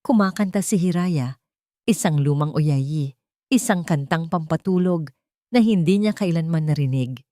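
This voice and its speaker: A woman narrating a scripted drama story in a measured, dramatic storytelling manner. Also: low-pitched, mellow, no echo, studio quality.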